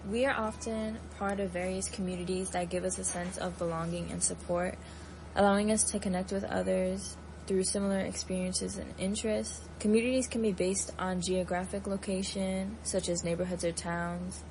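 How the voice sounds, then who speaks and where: A woman's voice: lowish voice, flat delivery, moderate pace, a little mellow, a little hiss or hum, low-quality recording. A young adult woman working as a student interviewer, reading out prepared questions and introductions.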